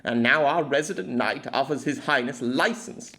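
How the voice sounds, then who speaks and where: A man's voice: medium pitch, moderate pace, pushed and bright. A man voicing a scowling, sardonic bowman in a fantasy audiobook, with a sneering, knowing manner.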